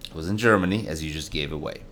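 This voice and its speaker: A man with a deep voice co-hosting a podcast, chatting casually and telling playful stories. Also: fast talker, a little hiss or hum. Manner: animated storyteller, playful. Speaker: podcast co-host. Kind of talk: casual chat.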